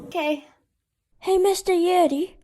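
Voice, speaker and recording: Small child for a radio play: very high, eager, innocent. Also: female voice, steady tone, a little slow, dark tone. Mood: meek. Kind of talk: amateur radio play acting.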